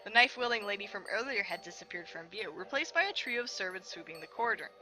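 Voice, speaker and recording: A woman's voice: medium pitch, expressive, fast talker, sharp tone, rough recording. A woman hosting a game preview, reading visual novel text aloud expressively and adding chatty, reactive asides. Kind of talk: narration of visual novel text with casual commentary. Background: game music.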